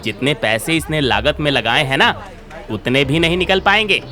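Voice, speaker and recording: A man voicing a scheming, mocking food-stall vendor with a sneering, drawn-out delivery in a cartoon moral story. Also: on the high side, animated, close to an everyday voice. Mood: gloating, scornful. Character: scheming, mocking adult male biryani street vendor.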